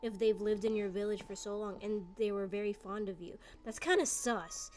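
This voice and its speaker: A woman's voice: mid-range voice, moderate pace, darkish tone. A woman giving casual gameplay commentary, rambling with lots of fillers and slang. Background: game music and dialogue.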